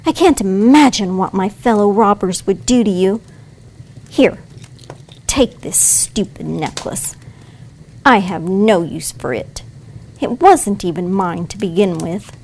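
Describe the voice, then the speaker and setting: Audio play: woman as commanding, threatening robber girl, secretly soft-hearted, slow measured delivery. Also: mid-range voice, slight background noise. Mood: grudgingly kind. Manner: brusque, commanding.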